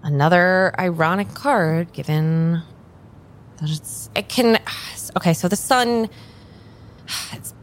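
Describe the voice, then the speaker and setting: Tarot reader for a female actor: blunt, exasperated, sardonic, slightly low pitch, improvised radio drama, faint background rumble. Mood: reluctant, wry. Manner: curt, exasperated, sighing, halting. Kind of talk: improvised audio drama dialogue.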